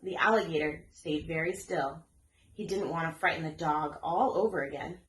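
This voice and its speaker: A woman reading a children's story aloud as an expressive storyteller. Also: deep voice, normal speed, slight background noise. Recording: echoey room.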